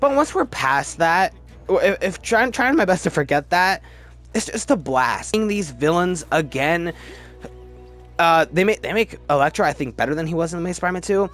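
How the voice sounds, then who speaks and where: A man's voice: fairly high pitch, animated, normal speed, a bit bright, faint music behind. A man giving casual, opinionated commentary as host of a film ranking video, with gushing fan enthusiasm and repeated words for emphasis.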